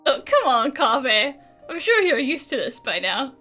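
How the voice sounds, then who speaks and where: A woman's voice: sits high, sing-song, unhurried, sharp tone, soft background music, full character voice. A woman playing a playful, teasing young traveler, easily amused, in video game voice-over. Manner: teasing, giggly.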